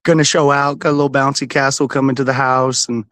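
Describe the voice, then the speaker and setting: A man talking on a casual sports podcast over a video call, laid-back and quick to laugh. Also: mid-range voice, little pitch movement, a bit bright, no echo, studio quality. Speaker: podcast guest, regular of the network.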